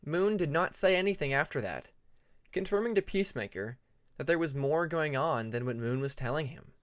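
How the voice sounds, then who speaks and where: A man reading a fantasy story aloud as scripted narration, in a measured storybook manner. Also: on the high side, expressive. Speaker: fan fiction audiobook narrator.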